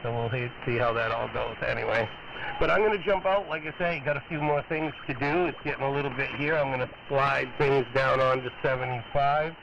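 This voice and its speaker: A man's voice: medium pitch, highs cut off. A man talking casually and in a rambling, easygoing way as an amateur radio operator. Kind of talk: casual ham radio chat. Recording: noisy amateur radio transmission.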